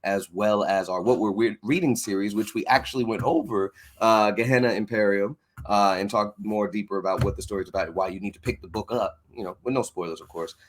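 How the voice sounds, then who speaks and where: Man, fairly low voice, interview host, casual chat, informal wording. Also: a little fast, few pauses, a little hiss or hum. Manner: informal, says y'all often.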